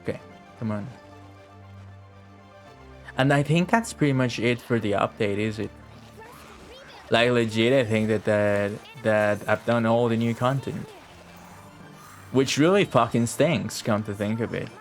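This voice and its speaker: A man's voice: medium pitch, unhurried, long pauses, soft background music. A man giving casual, offhand live game commentary, swearing and muttering to himself as he plays. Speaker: game streamer.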